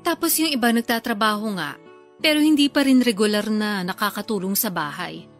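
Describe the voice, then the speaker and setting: A woman's voice: mid-range voice, fairly quick, soft background music, lightly acted. An actress playing a blunt, self-pitying older sister and struggling mother who complains defensively in a podcast drama. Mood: grumbling. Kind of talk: Filipino podcast radio drama dialogue.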